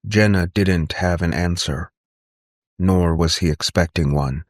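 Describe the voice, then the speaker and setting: A man's voice: sits low, a little slow, no room sound, studio quality. A man reading a scripted story as a narrator, in audiobook style.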